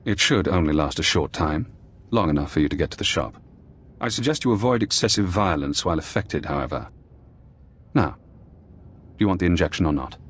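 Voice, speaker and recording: Deep-voiced, coldly calculating ex-spy. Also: male voice, animated, slightly rough. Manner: measured, cool, cryptic instructions. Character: paranoid, calculating imprisoned former spy analyst, adult man. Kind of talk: acted video game dialogue.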